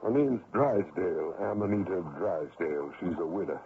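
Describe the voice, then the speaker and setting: A man playing a gruff, excitable older gold miner and father in a radio drama, talking in a folksy, rustic way. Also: low voice, normal speed. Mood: hesitant. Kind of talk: 1970s radio drama acting. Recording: tinny, faintly noisy old radio broadcast.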